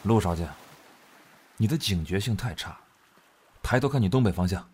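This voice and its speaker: A man's voice: lowish voice, animated, normal speed, darkish tone, a little hiss or hum, lightly acted. A man playing a stern, reserved young Alpha general in a modern audio drama. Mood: stern, controlled.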